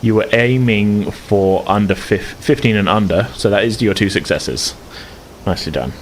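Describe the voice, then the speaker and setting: A very low-pitched man runs a tabletop game, narrating in a loose, rambling way full of restarts and fillers. A faint noise sits under his voice. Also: normal speed. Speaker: game master.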